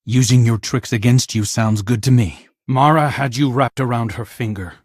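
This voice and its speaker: A man playing an earnest, troubled and defiant young hunter with a husky voice in a video game. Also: medium pitch, normal speed, no room sound, studio quality, lightly acted. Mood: determined. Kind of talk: video game character dialogue.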